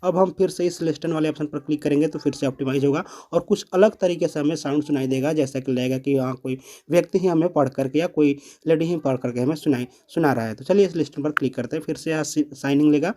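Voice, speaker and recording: A man's voice: on the high side, fast talker, few pauses, mellow. A man presenting a step-by-step app tutorial, explaining and repeating each step.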